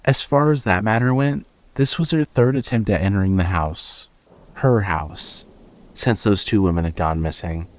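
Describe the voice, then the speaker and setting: Guy narrating a horror story podcast, reading from a script, voice a bit on the low side. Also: normal speed.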